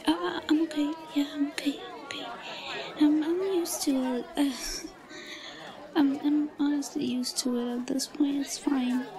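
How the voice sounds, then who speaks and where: Woman acting a high-pitched, timid, nervous schoolgirl yandere. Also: fairly even intonation, a little slow, crowd noise, poor audio. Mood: nervous, resigned. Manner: nervous, stammering, breathy hesitant delivery. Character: timid, bullied young female student, shy obsessive yandere. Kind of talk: roleplay audio drama acting.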